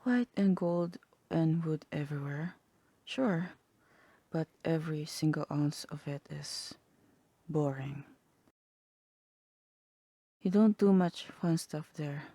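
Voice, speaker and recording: A woman voicing a sly, teasing demon in a half-whispered ASMR roleplay, languid and wry, with a husky voice. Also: deep voice, takes it slowly, pauses often, warm tone, no echo, heavily acted. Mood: wry, mocking. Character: sly, teasing demon tempting a human toward hell.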